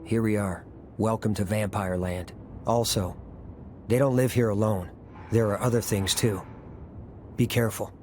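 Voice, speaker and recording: A man playing a cool, serious, terse warrior guide in an animated game cutscene. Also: on the low side, normal speed, long pauses, a little hiss or hum, natural voice. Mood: grave.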